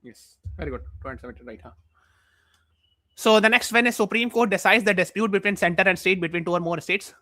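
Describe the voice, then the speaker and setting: A man's voice: high voice, quick pace, bright, forceful tone, no room sound. A man with an Indian accent teaching a live online exam class while mildly feverish, explaining points repeatedly and checking with questions.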